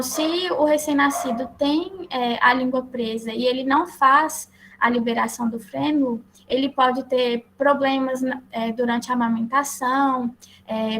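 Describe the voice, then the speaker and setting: A woman with a Brazilian accent presenting slides as a lecturer, explaining in a halting way. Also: sits high, steady tone, fairly bright tone, low-quality recording. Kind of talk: slide presentation to health professionals. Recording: clear background noise.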